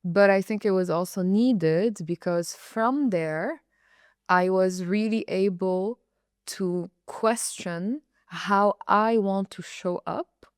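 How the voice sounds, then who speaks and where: A young adult woman from Congo, speaking slowly and thoughtfully as a podcast guest, with pauses and fillers. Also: mid-range voice, clear, resonant tone, dry recording, clean studio sound. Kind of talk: reflective personal interview.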